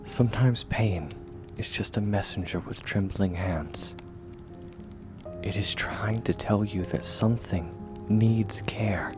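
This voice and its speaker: A man acting as a steadfast young warrior mentor offers comfort in a low voice. He speaks slowly and softly, often sinking toward a whisper, in an intimate ASMR roleplay over faint music. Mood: gentle, encouraging. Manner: soft, reassuring, measured, often near whisper.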